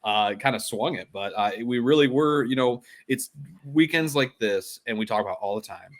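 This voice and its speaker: A man's voice: mid-range voice, normal speed. A man co-hosting a podcast in casual, loose sports chat. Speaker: podcast co-host.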